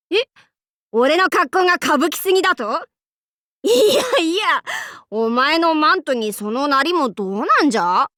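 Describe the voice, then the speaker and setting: A woman's voice: high-pitched, bright, forceful tone, dry recording, studio quality, exaggerated voice. A woman voicing a boisterous female demon king warlord in a Japanese anime game, speaking in a haughty, archaic, theatrical way. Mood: teasing. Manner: haughty old-fashioned lordly speech, theatrical battle cries.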